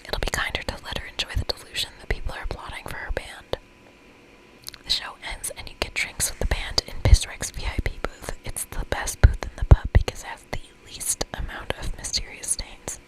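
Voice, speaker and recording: A woman whispering in a breathy, gentle, reassuring and chatty way as she hosts an ASMR game playthrough. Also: a little fast, poor audio. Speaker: host of an ASMR gaming channel.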